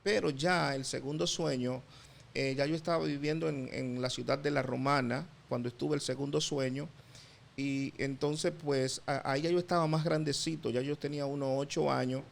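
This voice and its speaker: A middle-aged Dominican man, a pastor, giving his testimony in an interview, halting often with fillers and repeated words. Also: mid-range voice, fairly even intonation, gravelly. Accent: Dominican Spanish. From Dominican Republic.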